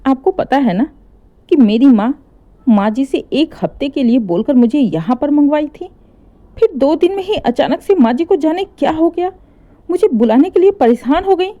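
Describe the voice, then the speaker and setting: A woman's voice: high voice, expressive, normal speed, mellow, lo-fi sound, pitched above the performer's own voice. A woman voicing a distraught young mother pleading anxiously for her children in an emotional story.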